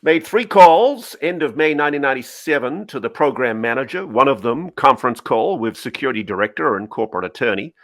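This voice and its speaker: A man's voice: mid-range voice, expressive, a little fast, hardly any pauses, no echo, close to an everyday voice. Guarded admiral, read by a man, telegraphic and dramatic, varied intonation, close dry recording. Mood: recounting. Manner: clipped, telegraphic phrasing. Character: guarded senior admiral recounting secrets in clipped phrases. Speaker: co-host reading a part. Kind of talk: dramatic cold reading of a memo transcript.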